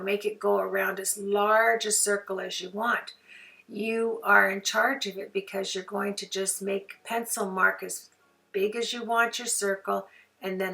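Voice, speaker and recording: A hoarse woman hosting a card-making tutorial in a chatty, folksy way. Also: mid-range voice, sharp tone, far from the mic, low-quality recording. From Canada. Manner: chatty, folksy, homey phrases. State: hoarse from flu. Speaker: craft tutorial host.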